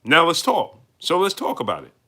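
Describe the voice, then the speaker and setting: A man with a New York accent hosts a live stream, greeting his viewers and answering their messages. His voice is bright and forceful, and he hammers his points by repeating them. He climbs to loud, heated peaks. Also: on the high side, wildly swooping pitch, moderate pace. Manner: emphatic, repeats lines, rises to heated peaks. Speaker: livestream host. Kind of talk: live-stream chat, reading super chats.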